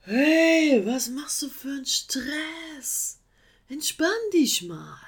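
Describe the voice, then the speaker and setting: A woman's voice: high-pitched, sing-song, drawn-out words, pitched above the performer's own voice, exaggerated voice. A woman voicing a lazy, laid-back male sloth who drawls and whines. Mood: relaxed.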